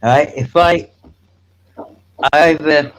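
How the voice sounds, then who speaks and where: A man's voice: a little high, extremely slow, fairly bright tone. A middle-aged man chatting casually as host of a live stream. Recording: faintly noisy stream audio.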